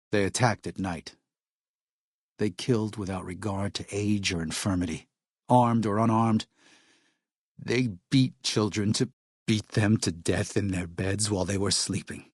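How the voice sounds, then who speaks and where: A weary missionary man leading people in a post-apocalyptic game world. His voice is on the low side, recorded very dry. He speaks earnestly and plainly, in measured phrases. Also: breathy edge, no echo. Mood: somber. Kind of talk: video game character dialogue.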